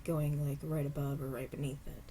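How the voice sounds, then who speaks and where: Woman, stuffy with a cold, mellow dark tone, unhurried craft tutorial. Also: low voice, a little hiss or hum. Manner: relaxed, unhurried, apologetic asides. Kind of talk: casual step-by-step craft tutorial. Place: home craft table.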